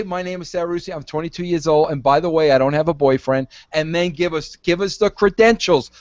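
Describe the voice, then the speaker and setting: Podcast host, male, 50s, pushy and lively, dull dry audio. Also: high-pitched, no room sound. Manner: pushy, coaxing, repeats himself. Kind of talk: casual podcast chat.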